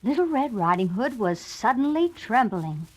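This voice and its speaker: A woman's voice: a little high, big pitch swings, moderate pace, poor audio. A woman reading scripted narration for a children's read-along storybook.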